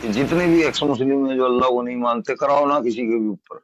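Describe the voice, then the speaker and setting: A man's voice: medium pitch, brisk, no echo. A middle-aged man argues bluntly and insistently in an informal debate on a phone call. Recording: dull lo-fi phone call recording.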